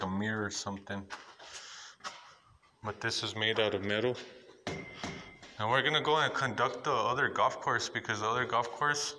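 A man casually narrating a walkthrough of a dark abandoned building, rambling and repeating himself as he points things out, filmed on a handheld phone. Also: lowish voice, noisy background. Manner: rambling, repetitive pointing out spots. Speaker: urban explorer vlogger. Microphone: handheld phone camera.

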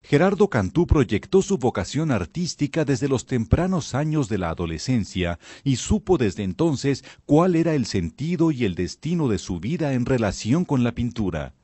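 A man reading a scripted documentary narration in a measured, expressive way. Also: medium pitch, slightly rough, dry recording.

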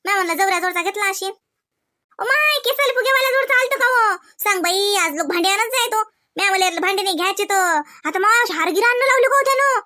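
A woman voicing gossipy, excitable village housewives in a comedy cartoon, exclaiming and teasing in rustic Varhadi Marathi. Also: extremely high pitch, quick pace, exaggerated voice. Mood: curious, teasing. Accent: Varhadi Marathi from Vidarbha. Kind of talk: colloquial comic cartoon dialogue.

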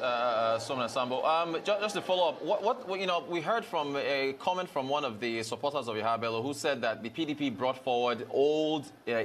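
Male TV news anchor, thirties, medium pitch, halting live questioning, studio setting. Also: fairly bright tone, slight background noise. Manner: halting, repeats words as he questions.

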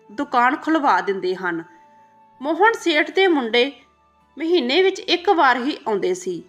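High, lively woman storyteller. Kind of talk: scripted narration of an emotional moral story.

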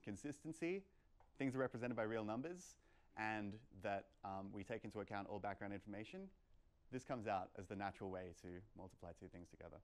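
A young man presenting informally as a lecturer, explaining emphatically and posing rhetorical questions. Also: on the low side. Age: young adult. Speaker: lecturer at a meetup. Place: lecture room, indoors.

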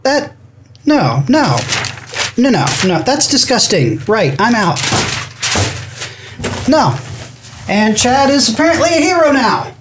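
A woman playing a theatrical, easily scandalized storyteller in an audio drama, with expressive delivery. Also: fairly high pitch, takes it slowly, pauses often, background noise. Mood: indignant. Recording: roomy echo, music behind.

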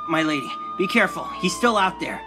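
A woman's voice: lowish voice, huge pitch swings, fast. A woman voicing a cheeky, wisecracking young male cat superhero in a cartoon comic dub, theatrical and teasing. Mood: earnest. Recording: loud music and sound effects behind.

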